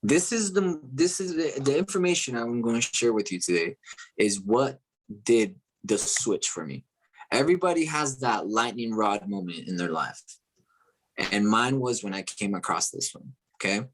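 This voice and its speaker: A man's voice: mid-range voice, lively intonation, moderate pace, poor audio. Motivational male host from Chile, repetitive and enthusiastic. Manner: enthusiastic, repeating phrases with frequent "okay?" and "right?" tags. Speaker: host of an online group call. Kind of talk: motivational talk to a live online audience.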